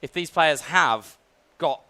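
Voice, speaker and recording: A man's voice: fairly high pitch, slow pace, sharp tone. A British man giving fluent, analytical play-by-play commentary on a live esports match. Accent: British English. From United Kingdom. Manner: fluent, analytical broadcaster delivery.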